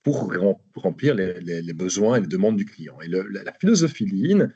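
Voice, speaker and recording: A man's voice: deep voice, big pitch swings, normal speed, warm tone, slightly rough, lo-fi sound. A man with a Belgian French accent presenting a webinar over a video call, hesitant with many restarts. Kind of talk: live online presentation.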